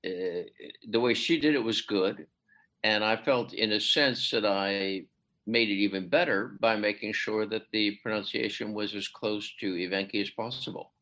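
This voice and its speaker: A man speaking as a literary translator in a podcast interview, hesitant with many fillers and restarts, his pitch climbing sharply when he stresses a point. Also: normal speed, sharp tone, rough recording. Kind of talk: conversational interview.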